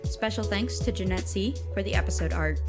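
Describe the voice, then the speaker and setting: A woman's voice: medium pitch, a little fast. A woman reading scripted closing credits for an audio drama podcast as an announcement. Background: music.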